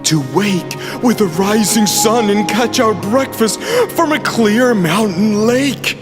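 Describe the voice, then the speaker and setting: A man's voice: high-pitched, slow pace, over music. A man playing an exuberant, boastful father bear who declaims grandly and emphatically in a children's audio play. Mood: dreamy, enthusiastic.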